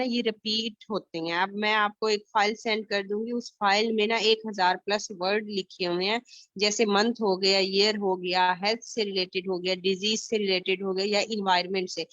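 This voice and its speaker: A woman's voice: mid-range voice, level tone, normal speed, fairly bright tone, dry recording. A woman with a Pakistani accent teaching an IELTS class over a video call, explaining patiently with many fillers. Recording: dull lo-fi online call audio.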